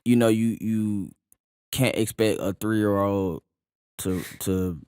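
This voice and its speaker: A man in his thirties co-hosting a podcast, talking in a relaxed, halting way and often agreeing. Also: fairly low pitch, clean tone, dry recording, studio quality. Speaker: podcast co-host. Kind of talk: casual podcast chat.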